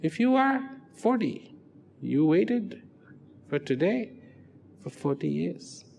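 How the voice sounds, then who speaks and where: A man giving a reflective public talk, slow and emphatic, swinging from loud declarations to hushed, almost whispered lines. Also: high voice, very animated, vocal fry, slight background noise. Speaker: speaker. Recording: long hall reverb with faint music.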